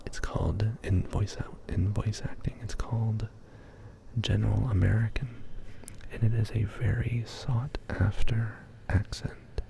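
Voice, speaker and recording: Male ASMR streamer, from Texas, slow gravelly whisper, close studio mic. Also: on the low side, steady tone, noisy background. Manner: soft whispering, chatty, reads and answers chat. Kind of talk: whispered ASMR livestream chat. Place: home studio. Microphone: studio condenser mic.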